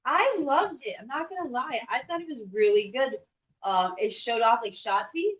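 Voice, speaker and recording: A woman's voice: on the high side, expressive, normal speed. A woman co-hosting in casual chat, giving enthusiastic, informal reactions and often saying "like". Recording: dull, roomy, distant microphone.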